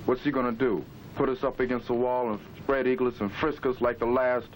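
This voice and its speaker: A man's voice: medium pitch, little pitch movement, brisk, natural voice. An actor playing a bitter, cynical man on the street, grumbling sardonically in a 1960s training film. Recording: slightly noisy old film soundtrack.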